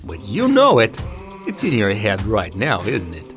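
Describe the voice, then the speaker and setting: A man's voice: fairly low pitch, huge pitch swings, unhurried. A man giving casual, opinionated commentary as a video host, talking conversationally and swearing freely. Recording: music behind the voice. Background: background music.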